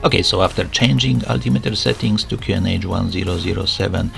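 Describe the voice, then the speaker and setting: Male student-pilot narrator, explanatory, low and heavily fried voice. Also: little pitch movement, quick pace, vocal fry, a bit bright, faint music behind. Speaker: student pilot vlogger narrating own flight.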